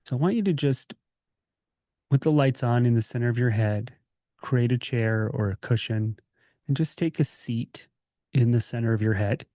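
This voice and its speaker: A man leading a guided meditation as a teacher, speaking in a gentle, calming, informal way with pauses. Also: medium pitch, no echo, studio quality. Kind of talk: guided meditation, conversational and unscripted.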